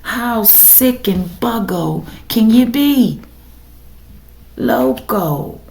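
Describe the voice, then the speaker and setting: Raspy, gravelly woman reading tarot. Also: mid-range voice, animated, very slow, a little mellow. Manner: emphatic, repeats "okay?" to the audience. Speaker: tarot reader. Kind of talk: casual tarot reading to viewers. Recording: echoey room with faint noise.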